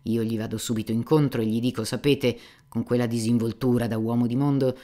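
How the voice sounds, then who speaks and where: A man playing a loud, rambling old buffoon. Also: mid-range voice, steady tone, a little fast, lightly acted. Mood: theatrical. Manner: loud, theatrical, rambling storytelling. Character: boastful aging male buffoon, self-mocking and garrulous. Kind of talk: audiobook character reading.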